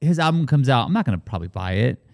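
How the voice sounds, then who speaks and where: A man's voice: mid-range voice, very animated, rapid-fire, darkish tone. A man co-hosting a casual movie podcast, joking and enthusiastic, with some swearing. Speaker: podcast co-host.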